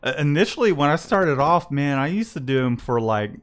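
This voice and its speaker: A man's voice: fairly high pitch, sing-song, fairly quick. A man hosting a casual livestream about his screen-printing shop, talking in a rambling way with many restarts and fillers.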